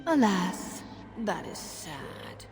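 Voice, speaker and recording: A woman voicing a calm, haughty, menacing female demon in a fantasy video game, breathy and half-whispered. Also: on the low side, very slow, faint music behind, exaggerated voice. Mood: feigned civility.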